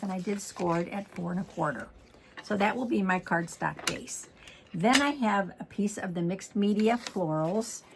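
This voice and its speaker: A middle-aged woman talks through a card-making project step by step, chatty and easygoing. Her voice sits a little low and has a gravelly, hoarse edge, since she is losing it. A faint hiss runs under the recording. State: losing her voice, throat clearing. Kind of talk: casual crafting tutorial.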